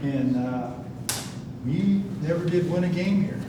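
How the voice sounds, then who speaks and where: A man's voice: on the high side, unhurried. Folksy older man in an echoey hall. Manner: folksy, rambling, self-described monotone. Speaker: county department director. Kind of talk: town hall presentation. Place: community meeting hall. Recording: echoey hall, distant, noisy.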